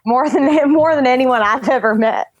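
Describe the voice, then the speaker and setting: A woman's voice: high-pitched, moderate pace, muffled recording. A woman in casual comedic panel chat, gushing and emphatic as a talent agent.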